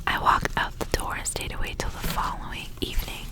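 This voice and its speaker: Female ASMR reader, fully whispered delivery, soft and unvoiced, for intimate story reading. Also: a little fast. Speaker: ASMR reader and channel host.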